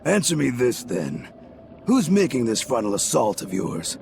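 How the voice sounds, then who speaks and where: Gruff male sailor, gravelly, sardonic, lively swings in pitch, fantasy game. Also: moderate pace, background noise. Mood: skeptical, wry. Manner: sardonic, blunt. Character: gruff, wry male sailor, sharp-tongued. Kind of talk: dubbed fantasy game acting.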